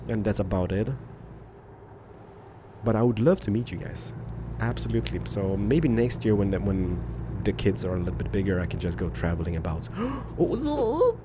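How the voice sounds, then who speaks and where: A man's voice: lowish voice, very animated, moderate pace. A man in his thirties from Poland, raised in Sweden, rambling casually through gameplay commentary and viewer questions from his home office. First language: Swedish. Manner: rambling, loose, many fillers and restarts. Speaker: gaming YouTuber. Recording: game engine noise under voice.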